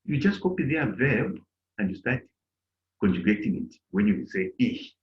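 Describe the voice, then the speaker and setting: A man's voice: fairly low pitch, animated, moderate pace. A middle-aged man teaching a German lesson to an online class, acting out little dialogues in a raised voice. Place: indoor room on a video call. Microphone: laptop mic on a video call. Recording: lo-fi video call audio.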